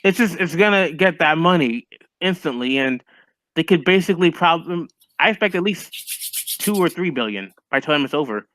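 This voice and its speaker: A man's voice: fairly high pitch, lively intonation, normal speed, fairly bright tone, no echo, poor audio. A young man chatting casually and with strong opinions as a stream co-host, full of "like" fillers. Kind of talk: casual chat over a call.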